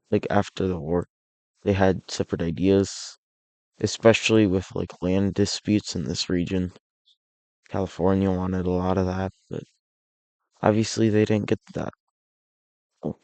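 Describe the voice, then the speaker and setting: A man with a low, gravelly voice talks through a map video in a relaxed, wandering way, stopping and restarting as he goes. He sounds hoarse and a little unwell. The recording is dry and close, with no room sound. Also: no room sound. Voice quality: raspy. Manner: rambling, with frequent restarts and self-corrections. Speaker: alternate-history map series creator. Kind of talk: casual map narration.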